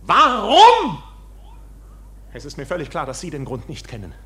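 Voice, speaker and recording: An actor playing a defiant, agitated accused bank officer in a German radio drama, speaking in an impassioned, declamatory way. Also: male voice, on the high side, huge pitch swings, moderate pace, bright, forceful tone, full character voice. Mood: indignant.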